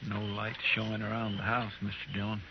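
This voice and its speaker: An American actor playing an anxious, deferential frontier deputy in a radio western, folksy in manner. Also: male voice, on the low side, monotone, fairly quick, natural voice. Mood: hushed. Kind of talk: old-time radio western acting. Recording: muffled, noisy old radio recording.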